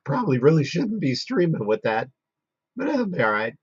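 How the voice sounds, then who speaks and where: Hoarse man chatting on a live stream. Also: on the high side, big pitch swings, normal speed, no echo, lo-fi sound. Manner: chatty, mutters to himself while working. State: losing his voice, hoarse. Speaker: livestream host. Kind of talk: casual live-stream chat while working.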